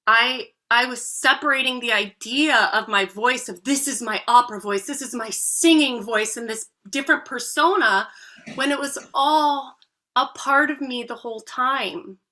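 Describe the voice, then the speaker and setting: A woman's voice: a little high, moderate pace, bright, forceful tone. A woman in her thirties, a voice coach, telling her own story as a guest in an earnest, heartfelt way. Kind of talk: podcast interview.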